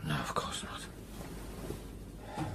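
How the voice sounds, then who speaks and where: An actor playing a weary, troubled scientist in an audio drama, speaking in a hushed, halting way. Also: male voice, noisy background. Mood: weary, bleak. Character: weary, troubled male scientist, intimate and bleak.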